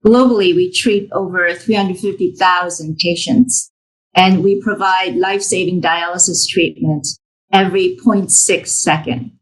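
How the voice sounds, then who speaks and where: A woman, a corporate executive giving a measured self-introduction in an interview over a remote call. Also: mid-range voice, fairly bright tone, poor audio. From United States. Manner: measured, composed, with fillers between phrases. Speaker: corporate executive, guest speaker. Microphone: remote call from abroad.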